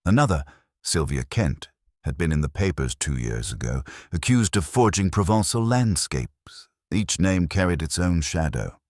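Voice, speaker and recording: Very deep-voiced man narrating detective fiction. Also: big pitch swings, normal speed, darkish tone, no echo, studio quality. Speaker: audiobook narrator. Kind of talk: first-person detective story narration.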